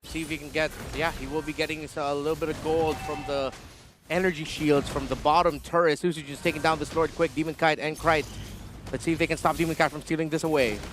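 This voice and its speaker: A man from the Philippines commentating live on an esports match, excitable and stretching words out for drama. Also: on the high side, fast talker, noisy background. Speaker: esports shoutcaster.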